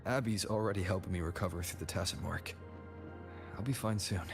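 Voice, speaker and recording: Young male game hero: low, measured, soft-spoken, music behind. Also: vocal fry. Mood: weary. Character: calm, kind young male wandering hero. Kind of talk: video game voice acting, inner monologue.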